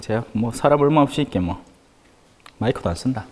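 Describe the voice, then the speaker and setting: Animated Gyeongsang-accented priest in an echoey hall. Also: male voice, mid-range voice, fairly quick. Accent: Gyeongsang Korean. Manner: jocular, colloquial, rhetorical questions to listeners. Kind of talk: informal religious lecture to a small group. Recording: echoey hall with long reverb.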